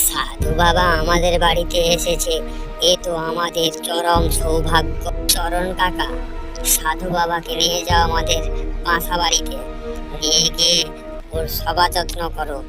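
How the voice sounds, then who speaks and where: A man's voice: a little high, completely flat delivery, measured pace, close to an everyday voice. A man voicing a greedy, outwardly pious village landlord in a cartoon folk tale, commanding and self-important. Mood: dutiful, commanding. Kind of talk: Bengali animated folk-tale dialogue. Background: music.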